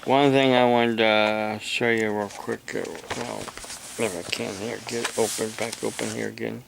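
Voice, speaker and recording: A man talking unscripted to camera in a halting, deliberate, aggrieved way, like a homemade video monologue. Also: lowish voice, level tone, creaky, noisy background. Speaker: amateur radio operator, video blogger.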